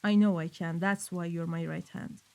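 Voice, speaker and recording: Woman playing a mellow-voiced, commanding mafia boss. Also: fairly low pitch, fairly even intonation, moderate pace, creaky. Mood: cold, commanding. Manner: clipped, authoritative orders. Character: commanding, exacting, impatient female mafia boss. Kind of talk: scripted audio roleplay acting.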